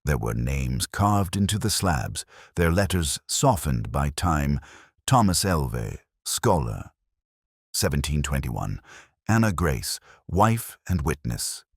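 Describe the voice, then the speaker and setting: An actor playing a melancholy, wry middle-aged police inspector, narrating a detective story in a measured, literary manner with deliberate pauses. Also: male voice, rumbling low, sing-song, creaky, husky, dry recording, clean studio sound, full character voice. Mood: brooding, reflective. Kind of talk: scripted first-person detective narration.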